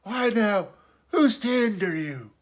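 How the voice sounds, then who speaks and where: Storybook castaway: high, loud, hoarse, half-mad, pious yet sly, excitable seafaring talk. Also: male voice, a little slow. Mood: sly, startled. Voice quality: hoarse, awkward. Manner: rustic seafaring dialect, excitable, rambling. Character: marooned old castaway sailor, half-crazed, childish, sly, pious.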